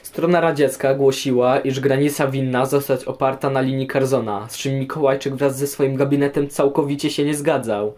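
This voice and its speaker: A man's voice: mid-range voice, steady tone, moderate pace, few pauses, a little mellow. A man answering history questions in scripted documentary narration.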